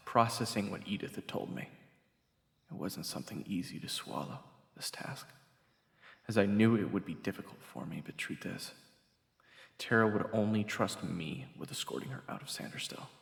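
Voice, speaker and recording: A man's voice: low voice, animated, normal speed, creaky, breathy edge, full character voice. A man playing an earnest, easily flustered young hero who also narrates a fantasy audio drama. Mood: grave narration. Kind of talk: audio roleplay acting with first-person narration.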